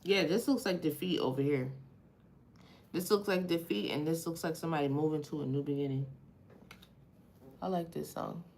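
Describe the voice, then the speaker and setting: A woman's voice: low-pitched, moderate pace, creaky, long pauses, darkish tone, slight background noise. A Haitian-accented woman from Haiti doing a live tarot reading, casual and profane, asking the cards questions aloud as she thinks. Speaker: tarot reader.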